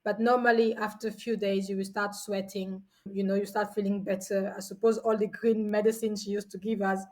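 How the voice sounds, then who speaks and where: A woman's voice: medium pitch, little pitch movement, a little fast, a little mellow, rough recording. A woman in her forties from São Tomé and Príncipe, a guest telling stories in a chatty way with frequent restarts. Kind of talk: casual storytelling.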